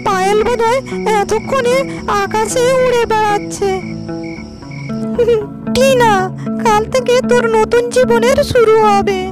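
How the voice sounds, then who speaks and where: A woman's voice: extremely high pitch, fairly even intonation, measured pace, long pauses, loud music behind the voice. A woman voicing a spoiled, vengeful young landlord's daughter in a cartoon story, with haughty, emphatic delivery. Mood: gloating. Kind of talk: cartoon moral-story acting.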